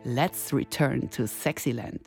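A man reading a scripted column in a deliberate, declarative way. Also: mid-range voice, slightly rough. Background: music.

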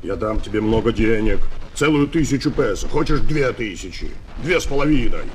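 Man doing pushy greedy fat shopkeeper, lowish voice, slightly noisy old record. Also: lively intonation, brisk, lightly acted. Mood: wheedling, insistent. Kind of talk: children's audio drama. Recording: old flexi-disc, faint noise.

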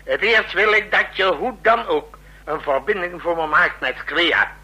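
An actor playing a commanding, brusque president in a Dutch radio drama, barking orders and grumbling asides. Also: male voice, high voice, measured pace, pushed and bright, husky, heavily acted, rough recording. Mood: brisk, commanding.

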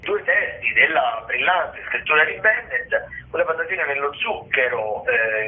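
A man's voice: medium pitch, a little hiss or hum. A man presents arts listings as a radio host in a semi-improvised, hesitant way, searching for words mid-phrase. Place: radio broadcast. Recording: tinny, narrow phone-like radio sound.

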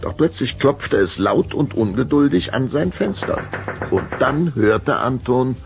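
A man narrating a children's audio story from a script in a dramatic, deliberate storytelling manner. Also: mid-range voice, lively intonation, creaky, faint music behind.

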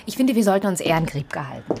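A woman's voice: lowish voice, big pitch swings, quick pace, vocal fry, over music. An actress playing a sober, sceptical female police investigator in a naturalistic radio drama, matter-of-fact and understated.

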